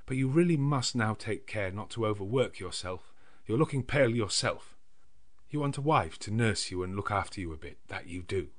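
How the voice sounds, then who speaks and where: A man as a motherly older lady, higher-pitched. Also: expressive, moderate pace. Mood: grateful concern. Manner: solicitous, gently chiding. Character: grateful, motherly older lady, fussing kindly.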